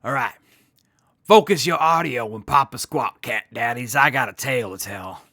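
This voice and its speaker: A man acts out a cool beatnik squad leader for an audio drama. The voice is bright and creaky, recorded very dry. He spins everything in jive slang and stays unflappable. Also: medium pitch, normal speed, no room sound. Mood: cool, confident. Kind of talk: post-apocalyptic audio drama acting.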